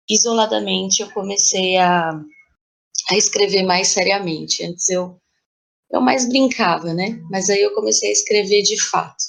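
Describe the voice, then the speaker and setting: A woman's voice: mid-range voice, gravelly. A woman with a Brazilian accent speaking reflectively and conversationally as a playwright being interviewed. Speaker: playwright guest.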